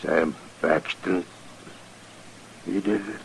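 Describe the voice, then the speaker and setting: Dying frontiersman, gasping very slowly. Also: low voice, exaggerated voice. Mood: pained, failing. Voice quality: gravelly, broken. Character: mortally wounded male frontier farmer, gasping and afraid. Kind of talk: old-time radio western acting. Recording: noisy old radio recording.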